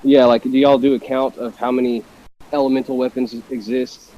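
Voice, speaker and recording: A man's voice: mid-range voice, flat delivery, normal speed, slight background noise. A man from Texas bantering on a casual podcast, challenging and sarcastic in manner. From Dallas, Texas, US. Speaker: podcast panelist. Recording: dull lo-fi voice chat.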